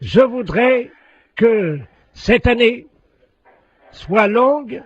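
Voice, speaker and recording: A man playing a dim, insistent well-wisher on a prank phone call, halting and repeating himself. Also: very high voice, lively intonation, slight background noise, heavily acted. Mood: dogged, halting. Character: dim, dogged old acquaintance repeating lewd New Year wishes. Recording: telephone line.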